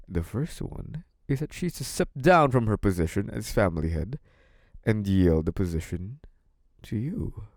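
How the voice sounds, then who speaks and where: A man's voice: on the low side, creaky, darkish tone, no room sound, studio quality, exaggerated voice. A man playing a smug, menacing, possessive young mafia boss in an intimate audio roleplay, taunting in a theatrical, mock-sweet way. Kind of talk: scripted audio roleplay, intimate monologue to one listener.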